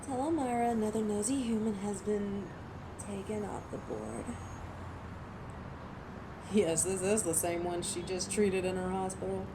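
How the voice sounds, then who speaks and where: Girl playing ruthless alpha werewolf lady, slow n imperious, sardonic, noisy background, audio roleplay. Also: medium pitch, natural voice. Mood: brisk, businesslike. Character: commanding, exasperated, ruthless female alpha werewolf. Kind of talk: dramatic roleplay audio acting.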